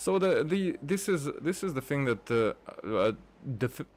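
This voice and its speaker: A man giving casual gameplay commentary, rambling and thinking aloud with halting phrases. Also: mid-range voice, animated, darkish tone. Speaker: gaming streamer. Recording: game music behind the voice. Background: video game music.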